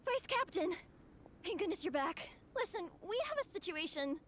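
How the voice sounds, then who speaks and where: Excitable high-voiced superfan girl. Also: normal speed, slight background noise, exaggerated voice. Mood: urgent relief. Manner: dramatic, gushing. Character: excitable, earnest young female comic book superfan. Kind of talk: video game voice acting.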